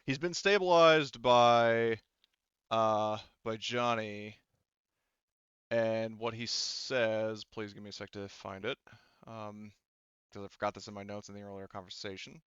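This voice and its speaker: A man running a tabletop game as game master, talking casually and piecing his narration together haltingly as he goes. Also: on the low side, fairly even intonation, a bit bright, smooth, no echo, lo-fi sound.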